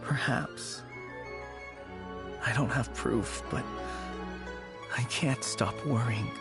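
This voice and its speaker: An actor playing an anxious, mournful young man in a video game, speaking in a halting, brooding way. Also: mid-range voice. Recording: music behind the voice. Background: game music.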